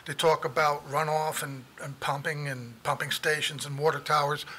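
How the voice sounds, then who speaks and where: A man's voice: flat delivery, sharp tone, a little hiss or hum. Hesitant man speaking at a meeting. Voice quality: low voice. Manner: hesitant, halting, many fillers. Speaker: resident speaking at public comment. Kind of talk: public comment at a meeting.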